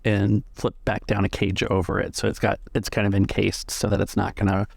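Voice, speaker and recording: A man's voice: fairly low pitch, completely flat delivery, a little fast, gravelly, a little mellow, dry recording. A man acting as game master, describing scenes conversationally in casual tabletop game narration.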